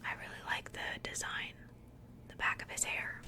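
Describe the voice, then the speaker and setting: A woman speaking in a soft, breathy whisper, chatting casually and unhurriedly in the style of an ASMR unboxing. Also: normal speed. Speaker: ASMR video creator.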